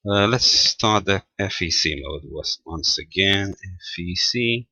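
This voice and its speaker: A man giving an informal technical demonstration as a presenter, halting and thinking aloud as he goes. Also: low-pitched, pushed and bright, no echo. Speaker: amateur radio tutorial presenter. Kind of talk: informal spoken software demonstration.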